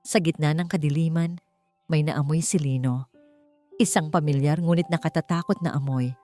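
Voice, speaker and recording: A woman with a Filipino accent narrating a story in a measured, dramatic, suspenseful audiobook style. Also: sits low, steady tone, mellow, soft background music.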